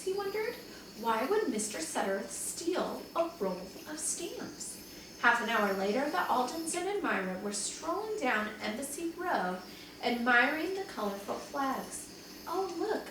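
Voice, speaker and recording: A woman's voice: high-pitched, measured pace. A woman reading a children's story aloud like a teacher to young pupils, expressively acting out the dialogue. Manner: animated, dramatizes dialogue for young listeners. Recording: very echoey room, distant mic, faint noise.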